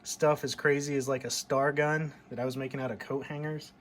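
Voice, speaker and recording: A man's voice: medium pitch, flat delivery, a little fast. A middle-aged American man chatting casually and nostalgically, in a rambling show-and-tell style. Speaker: hobbyist vlogger showing a CB radio.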